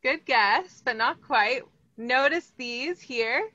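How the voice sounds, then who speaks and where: A woman's voice: high voice, lively intonation, slow pace, bright, forceful tone, dry recording. A woman in her thirties from Los Angeles with an American accent, running an upbeat online plant lesson and asking her audience questions. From Los Angeles, California. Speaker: plant educator. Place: greenhouse, indoors. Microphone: video call, laptop or webcam. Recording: slightly dull video call audio.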